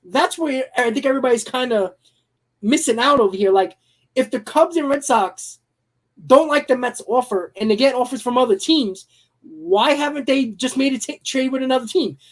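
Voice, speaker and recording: A man hosting a live-streamed sports talk show, hoarse from losing his voice, giving blunt, emphatic opinions. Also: extremely high pitch, normal speed, rough recording.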